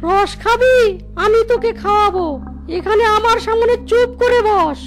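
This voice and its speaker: A woman's voice: very high voice, normal speed. A woman voicing a bossy male mystic holy man in a comic cartoon, with a commanding, chanting delivery. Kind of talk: Bangla comic cartoon voice acting. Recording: music behind.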